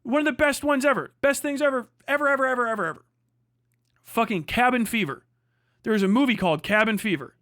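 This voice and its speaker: High voiced guy streamer going off on an opinionated rant, swears a ton, repeats himself, super dry audio. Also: bright, forceful tone, no echo. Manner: profane, emphatic, repetitive. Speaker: livestream host. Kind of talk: unscripted opinionated rant and commentary.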